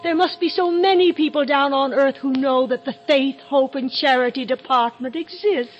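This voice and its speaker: A woman's voice: high voice, normal speed, slight background noise, lightly acted. An actress playing a hopeful, trusting heavenly lady clerk in a 1950s radio musical. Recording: dull 1950s radio recording, music behind.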